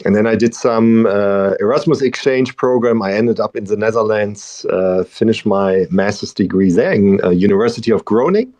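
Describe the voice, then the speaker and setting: A man's voice: fairly low pitch, normal speed, few pauses, a little mellow, dry recording. A man with a German accent, talking as a guest professor in a casual interview, hesitant with many restarts and easy laughs.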